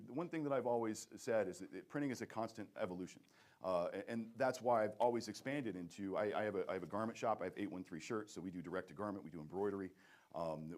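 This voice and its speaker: A man who owns a print shop, answering questions in a halting, casual way at a conference panel, often repeating words. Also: on the low side. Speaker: print shop owner, guest speaker.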